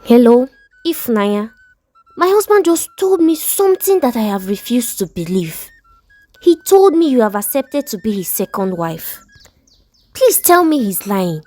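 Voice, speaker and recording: A woman's voice: fairly high pitch, sing-song, measured pace, warm tone, no echo. A woman voicing a betrayed Nigerian wife in a story, indignant, pleading and exclamatory, with a Nigerian accent. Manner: dramatic, exclamatory, questioning.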